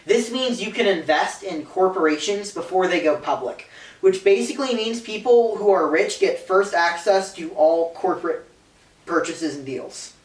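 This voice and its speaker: A woman's voice: on the low side, moderate pace, distant mic. A woman giving a comedic lecture summarizing a book for a live audience, mocking it and slipping into voices of the people she describes. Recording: roomy, from off mic.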